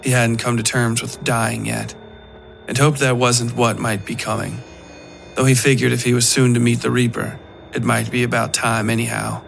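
A man reading a scripted dark fiction story as the narrator of a horror podcast. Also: mid-range voice, level tone, moderate pace, gravelly, faint music behind.

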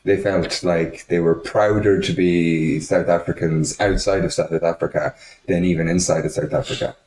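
A man's voice: deep voice, steady tone, moderate pace, darkish tone, reverberant, recorded from a distance, low-quality recording. An Irish man hosting a podcast, asking his guest rambling, curious questions. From Ireland. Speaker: podcast host. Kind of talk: casual podcast conversation.

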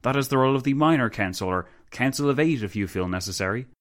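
A man's voice: medium pitch, expressive, fast talker, pitched above the performer's own voice, close to an everyday voice. Man voicing a weary, exasperated council chairman. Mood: weary, firm. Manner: formal, firm.

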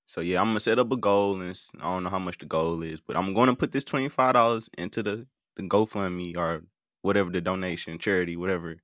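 A man's voice: sits low, quick pace, a bit bright, no echo, clean studio sound. An American man hosting a live call-in show in a casual, hesitant way, often repeating his words. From United States. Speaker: podcast game show host.

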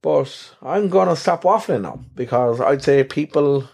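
A man's voice: mid-range voice, animated, measured pace, vocal fry, a little mellow, husky. An Irish man hosting a podcast, chatting casually in a rambling, self-deprecating way with a soothing voice. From Ireland. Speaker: podcast host. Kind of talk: casual solo podcast chat.

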